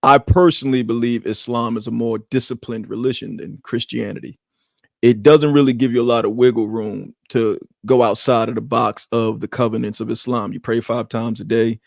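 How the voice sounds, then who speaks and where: A man co-hosting a podcast talks loosely and reflectively, circling back and restarting his thoughts. His voice sits at a middling pitch. The room sounds dry and close. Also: fairly even intonation, normal speed, creaky, no echo. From Queens, New York. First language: Arabic. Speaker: podcast co-host. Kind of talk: casual podcast conversation.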